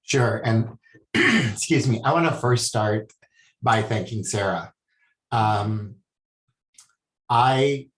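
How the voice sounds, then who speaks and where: A man from Hawaii, a guest speaking about healing in a soft, hesitant way with many pauses. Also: fairly low pitch. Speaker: guest, spiritual healer. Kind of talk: casual interview talk. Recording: echoey room.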